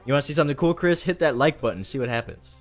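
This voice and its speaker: A man's voice: on the high side, animated, racing. A man hosting a live stream in casual chat, enthusiastic and slangy, with music behind him.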